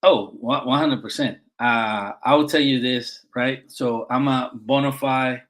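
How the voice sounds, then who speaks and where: A man's voice: medium pitch, fairly even intonation, unhurried, a bit bright. A middle-aged man with a Puerto Rican accent, a Marine veteran guest speaking earnestly in a conversational interview, with frequent fillers and restarts. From Puerto Rico.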